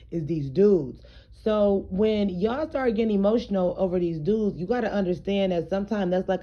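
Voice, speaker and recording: A woman with a raspy, slightly hoarse voice, chatting casually to her followers in a home vlog while she does her hair, loose and streetwise with plenty of fillers. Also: fairly low pitch, muffled recording. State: hoarse, throat congested. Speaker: vlogger.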